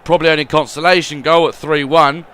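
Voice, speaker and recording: Bright-voiced man commentating a football game. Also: fairly high pitch, quick pace. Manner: rambling, repeats words, swings between gloom and excitement. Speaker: video game YouTuber.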